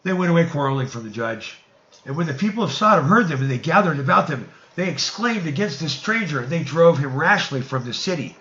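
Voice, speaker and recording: A man's voice: on the high side, expressive, fairly quick, fairly bright tone, reverberant, lo-fi sound. A man narrating an old scripture story in a solemn, archaic storytelling manner, as an amateur audiobook reading.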